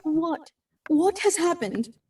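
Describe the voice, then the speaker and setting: High-pitched anxious girl. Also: lively intonation, slow, darkish tone, exaggerated voice. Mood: urgent, fearful. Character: anxious, pleading young girl of a castle household. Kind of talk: radio drama acting.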